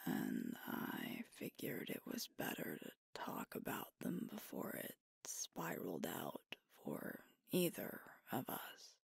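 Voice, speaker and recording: Woman doing a devoted werewolf girlfriend for asmr, super breathy whisper, slow and tender, right up on the mic. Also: rumbling low, huge pitch swings, no echo, clean studio sound, heavily acted. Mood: worried, earnest. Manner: tender, earnest, soothing, unhurried. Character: sweet, devoted female alpha werewolf girlfriend. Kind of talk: whispered ASMR romantic roleplay. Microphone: close microphone, dry.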